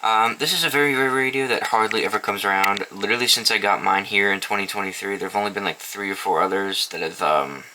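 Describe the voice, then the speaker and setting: A man's voice: lowish voice, fast, few pauses, sharp tone, slight background noise, poor audio. A young man casually showing off his weather radio collection, rambling and swearing freely, in an indoor room. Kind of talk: casual show-and-tell vlog. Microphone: camera mic.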